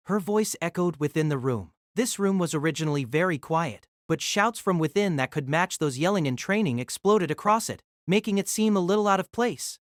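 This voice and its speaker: Audio drama narrator, very animated delivery, dry sound. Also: medium pitch, brisk, hardly any pauses, no echo. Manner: storytelling, expressive.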